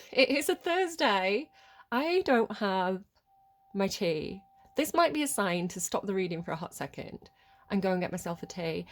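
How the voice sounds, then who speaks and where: A woman with a cold, sniffly, giving a casual tarot reading in a rambling way, full of fillers and small laughs. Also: mid-range voice. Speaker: tarot reader.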